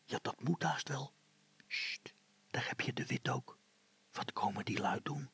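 A man voicing a furtive, grumbling older father in a storybook, hissing in a hushed voice. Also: medium pitch, expressive, unhurried, husky, whispered, exaggerated voice. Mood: wary, hushed.

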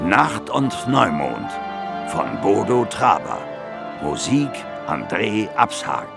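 Ein Mann spricht als Ansager die Titelansage eines Hörspiels. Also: male voice, lowish voice, sing-song, slow, vocal fry, loud music behind the voice. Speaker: announcer. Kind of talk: scripted radio drama title announcement.

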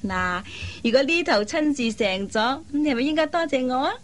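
A woman playing a kind, persuasive young elder sister in a Cantonese radio drama, coaxing and teasing in drawn-out phrases. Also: high voice, lively intonation, slight background noise. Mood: playful, fond.

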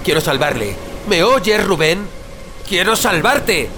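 A man's voice: high voice, sing-song, slow, background noise, heavily acted. A man with an accent from Spain playing a desperate, protective, doom-obsessed brother, urgent and pleading, in an audio drama. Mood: desperate, insistent. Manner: urgent, pleading, foul-mouthed. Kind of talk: Spanish audio fiction acting. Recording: dramatic music bed under the voice.

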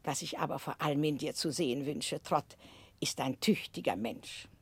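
Husky, imperious older aunt. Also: female voice, sits low, no echo. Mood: earnest, resolute. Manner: emphatic, deliberate, imperious. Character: strict, eccentric, resolute older aunt, fond but blunt. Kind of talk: 1960s German radio play acting.

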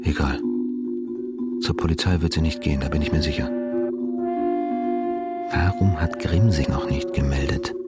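A cold, calculating man in a radio thriller, speaking in a deep voice. He is terse, controlled and menacing, with loud music beneath him. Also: normal speed, pauses often. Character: cold, calculating, menacing male stranger posing as husband. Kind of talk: radio drama inner monologue and dialogue.

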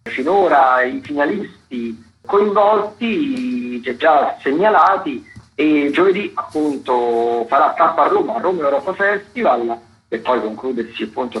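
Halting male radio host, dull lo-fi sound. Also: a little high, fairly bright tone. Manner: halting, repetitive, filler-heavy delivery. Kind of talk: radio arts listings commentary, loosely read.

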